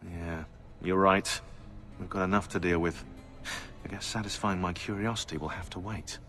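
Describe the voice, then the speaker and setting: An actor playing a sardonic, eccentric British conspiracy researcher turned assassin in a video game, chatty, opinionated and sarcastic. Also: male voice, low voice, fairly even intonation, normal speed, fairly bright tone, faint music behind. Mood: musing. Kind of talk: game cutscene dialogue.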